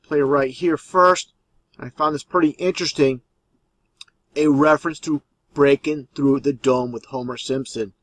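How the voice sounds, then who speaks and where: A man's voice: medium pitch, fairly even intonation, measured pace, a bit bright, dry recording. A man giving conspiracy commentary over video clips as the host, explaining in an insistent, repetitive way.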